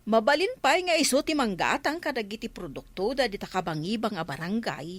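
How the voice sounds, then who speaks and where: A woman's voice: fairly high pitch, lively intonation, fairly quick. A woman with an Ilocano Filipino accent playing a chatty neighbour woman in a village comedy drama.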